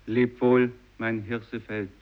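An actor playing a secretive villager in a radio drama, speaking in short, hushed phrases. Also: male voice, mid-range voice, flat delivery, slow, close to an everyday voice. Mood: mournful. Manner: hushed, terse, urgent conspiratorial murmur. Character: secretive village fisherman fleeing home with his neighbours. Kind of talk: choral radio drama lines. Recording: muffled, noisy vintage radio recording.

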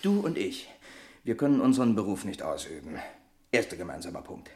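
A man's voice: sits low, very animated, brisk. An actor playing a hearty, persuasive trucker friend in a German radio crime drama, jovial and teasing, drawing out his announcements. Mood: persuasive, earnest.

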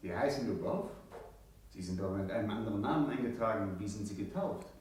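An actor playing a stern presiding judge in a 1960s German radio play, formal, clipped and official. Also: male voice, fairly low pitch, expressive, fairly quick, a little mellow, slight background noise, natural voice. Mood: questioning, impatient. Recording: echoey courtroom scene, distant from mic.

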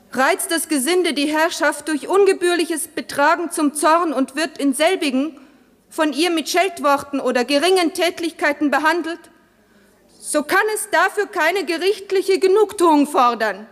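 An actress playing an upright young maidservant declaiming formally and forthrightly at a meeting in a radio drama, in a reverberant hall. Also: female voice, sits high, close to an everyday voice.

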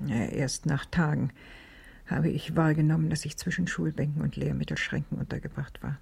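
An older actress playing a reflective, kindly old village schoolteacher in a German radio drama, with a gravelly, husky voice. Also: female voice, deep voice, fairly even intonation, moderate pace, dark tone, full character voice. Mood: sorrowful, reflective.

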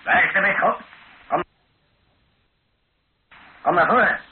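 Drunk military executioner, high male voice, curt commands, menacing, radio play, tinny narrow old recording. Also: slow. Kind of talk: radio drama acting. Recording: tinny, band-limited old radio recording, faint noise.

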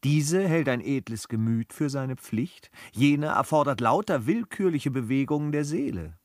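A man as a courteous, reserved gentleman with lively inflection. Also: mid-range voice, no room sound, clean studio sound, close to an everyday voice. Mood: courteous, principled. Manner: polite, measured, gently ironic. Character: courteous, modest, reserved gentleman traveller with a secret. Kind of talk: German radio play acting.

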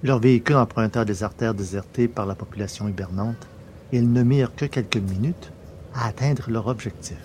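A man's voice: lowish voice, brisk, a little mellow, slight background noise. A man narrating a scripted science fiction adventure story in a literary, storytelling style.

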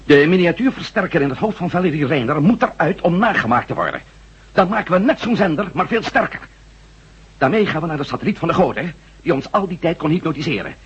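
A determined, hot-tempered ex-astronaut in a science fiction radio drama. His voice is very husky and slightly high, with animated, emphatic delivery. The recording is dull and lo-fi. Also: quick pace. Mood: determined, urgent. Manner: emphatic, swearing, argumentative. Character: determined, hot-tempered male ex-astronaut adventurer laying out plans.

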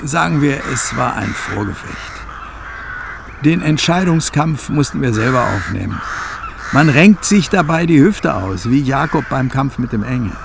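A man's voice: mid-range voice, very animated, measured pace, gravelly, noisy background. A man acting in a radio drama as an embittered scholar, a preacher's son, reminiscing with sardonic, theatrical mockery. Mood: wry, reflective. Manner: sardonic, theatrical, quotes others mockingly. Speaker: interviewee.

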